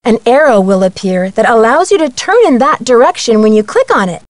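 A woman's voice: on the high side, expressive, brisk, no echo, lightly acted. A woman playing a young, confident amateur detective, giving friendly scripted tutorial instructions in a video game. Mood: chipper, instructive. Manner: friendly, clear instructional delivery.

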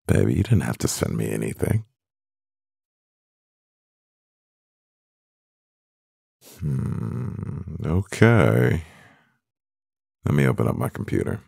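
A man playing a stressed, devoted CEO boyfriend in an intimate ASMR roleplay, murmuring with a husky, gravelly voice and pauses. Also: extremely low pitch, a little mellow, no room sound, clean studio sound, heavily acted. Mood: distracted, indulgent.

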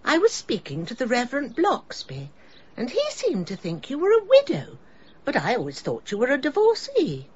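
A woman's voice: high-pitched, wildly swooping pitch, normal speed, darkish tone, breathy edge, highs cut off, heavily acted. An actress playing a nosy, grand English village lady in a radio drama, pointed and archly polite. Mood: prying.

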